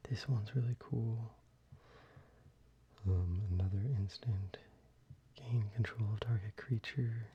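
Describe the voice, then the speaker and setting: A man whispering softly and unhurriedly, with fillers, as he shows cards to the viewer for ASMR. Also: lowish voice, slow, pauses often, breathy edge, dull audio. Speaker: ASMR creator. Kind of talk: soft-spoken ASMR card deck showcase.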